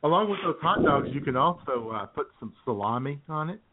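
A man's voice: on the high side, lively intonation, a little fast. A man in his forties co-hosting a casual radio chat, joking and often circling back on his words, with narrow phone-like sound. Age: 40s. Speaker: radio show co-host.

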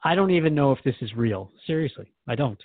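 A man's voice: medium pitch, expressive, fast talker, dry recording. A man from Canada playing a skeptical, slightly flustered radio host in a drama, hesitant and conversational as he questions his guest. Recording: thin phone-like band-limited audio.

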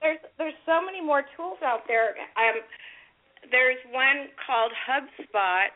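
A hoarse woman calling in to a panel chat, upbeat and chatty. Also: high voice, expressive, slow. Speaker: caller, panelist. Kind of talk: casual chat. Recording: tinny phone line.

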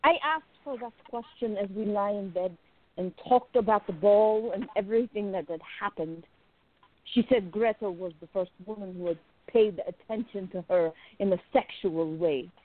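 A woman playing a jealous, besotted young woman confiding in her diary in a radio play, intimate, expressive and musing. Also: medium pitch, moderate pace, lightly acted. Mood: wistful, jealous. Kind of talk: dramatic first-person diary narration in a radio play. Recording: narrow phone-like lo-fi sound.

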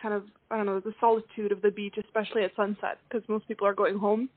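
A woman's voice: medium pitch, level tone, quick pace, no room sound. A young woman from Croatia, a singer guest, chatting easily with many likes and ums. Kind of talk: casual interview chat. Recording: dry, phone-like line.

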